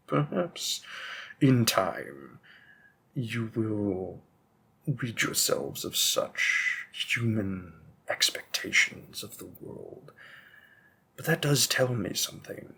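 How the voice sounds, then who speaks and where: A man performs an ancient, refined male vampire in an audio roleplay. He speaks slowly and deliberately at a medium pitch, condescending and pedantic, with the menace of a protective husband. There is faint noise behind the voice. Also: gravelly, a bit bright, full character voice. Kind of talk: scripted roleplay monologue addressed to the listener.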